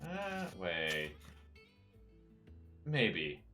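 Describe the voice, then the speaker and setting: Man, let's play host, bright voice, lively pitch, casual chatter. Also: extremely slow. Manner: chatty, laughing, reads game text aloud. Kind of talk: casual gameplay commentary. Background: game music.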